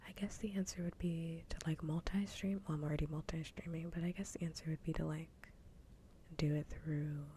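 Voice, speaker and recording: A woman streamer chatting casually in a soft, breathy whisper, rambling along in ASMR style on a livestream. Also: low-pitched, steady tone, fairly quick, slight background noise. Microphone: close ASMR microphone.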